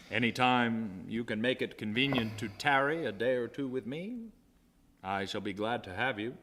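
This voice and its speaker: A man's voice: mid-range voice, bright, forceful tone. An actor playing a genial, courtly, mad congressman telling a grisly tale earnestly in a live radio play. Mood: courtly, affectionate. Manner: courtly, formal, fond, theatrical storytelling. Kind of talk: live radio drama acting. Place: theater stage before a live audience. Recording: reverberant live theater stage, audible noise.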